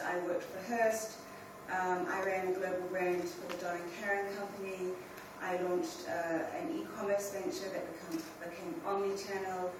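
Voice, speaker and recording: Middle-aged New woman, panel speaker, candid and conversational; distant mic in a boomy hall with noise behind her. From New Zealand. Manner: conversational, direct, restarts phrases. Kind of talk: informal conference talk. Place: large conference hall. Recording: very echoey hall from far off, with ambient noise.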